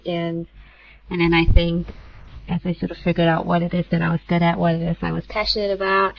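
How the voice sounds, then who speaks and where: Lowish-voiced woman from malaysia, chatty and quick with lots of "like", kinda muffled lo-fi sound. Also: creaky, slight background noise. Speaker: founder guest. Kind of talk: casual interview talk.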